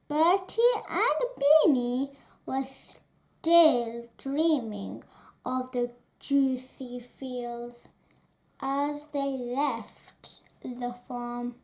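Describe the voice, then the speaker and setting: A woman reading a children's story aloud in a deliberate, expressive way. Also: high-pitched. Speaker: storybook reader.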